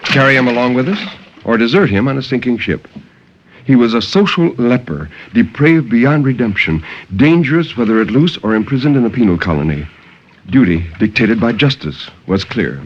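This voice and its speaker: A man's voice: medium pitch, very animated, normal speed. Muffled old-radio sea captain played by a man, dramatic. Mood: grave. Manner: theatrical, intense, formal diction. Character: sea captain recounting his ordeal, stern and dramatic. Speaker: storyteller. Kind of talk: 1950s dramatic horror radio narration. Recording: dull, lightly noisy 1950s radio recording.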